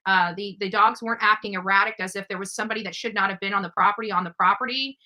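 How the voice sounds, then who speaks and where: A woman hosting a true-crime livestream, commenting over footage in a halting, emphatic way with repeated words. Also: mid-range voice, fairly even intonation, pushed and bright. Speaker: livestream host.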